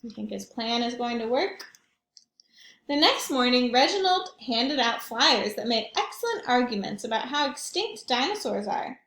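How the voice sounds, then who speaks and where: A woman's voice: a little high, big pitch swings, normal speed, a bit bright. A woman leading a read-aloud storytime for young children, putting questions and asides straight to them as she goes. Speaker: children's librarian reading aloud. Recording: echoey room.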